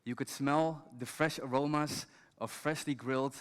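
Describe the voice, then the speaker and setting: A man, an education director, tells a story on stage at a conference in a measured, scripted way. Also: mid-range voice, fairly even intonation, smooth. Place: large conference hall. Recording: long hall reverb.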